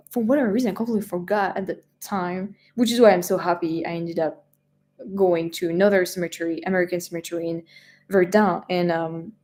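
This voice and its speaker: Woman, 20s, French accent, slightly low voice, easygoing live-stream chatter who rambles. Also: darkish tone. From France. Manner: chatty, rambling, lots of fillers and restarts. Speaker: travel vlogger answering live chat. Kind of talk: casual live-stream chat.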